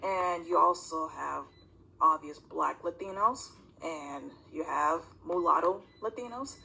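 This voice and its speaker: A video commentator talking casually and bluntly in a rambling, self-interrupting way, with a husky, creaky voice, recorded on a phone. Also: mid-range voice, takes it slowly, pushed and bright, low-quality recording.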